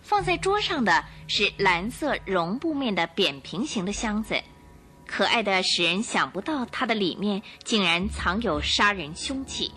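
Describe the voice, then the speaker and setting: A woman narrating a radio drama in an expressive, suspenseful storytelling manner. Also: mid-range voice.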